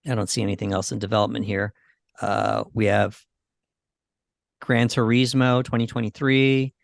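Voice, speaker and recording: A man hosting a casual podcast about movies, thinking aloud in a halting way. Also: lowish voice, level tone, vocal fry, no room sound, clean studio sound. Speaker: podcast host.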